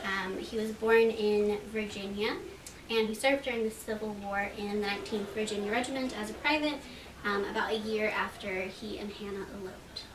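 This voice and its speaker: A woman giving an informal, slightly halting introduction with historical background at a live event. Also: mid-range voice, fairly even intonation. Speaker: presenter introducing readers at a library event. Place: library reading room at a public event. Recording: echoey room, distant mic, clear background noise.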